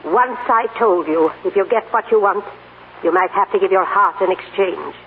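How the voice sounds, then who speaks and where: A woman's voice: medium pitch, moderate pace, close to an everyday voice. An actress playing a stern, blunt old grandmother in a 1940s radio drama, brusque and commanding. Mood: stern, challenging. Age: older. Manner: brusque, commanding, plain-spoken. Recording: scratchy, narrow-band 1940s radio broadcast.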